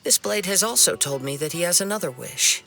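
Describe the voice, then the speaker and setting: An actor playing a gentle, composed young swordsman in a video game, calm and thoughtful, with music behind him. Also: high voice, brisk, vocal fry, exaggerated voice. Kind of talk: scripted game dialogue.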